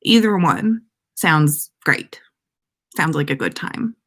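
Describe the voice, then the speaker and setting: A woman's voice: low-pitched, expressive, slow pace, a bit bright. An American woman hosting a casual livestream, chatting to viewers in a playful, rambling way. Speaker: podcast livestream host.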